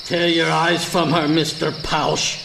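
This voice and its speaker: Creaky, furtive graveyard accomplice played by a man. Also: fairly high pitch, level tone, takes it slowly. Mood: impatient. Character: furtive, impatient male graveyard accomplice, wry and morbid. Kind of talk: audio drama acting. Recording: echoey, noisy night with crickets.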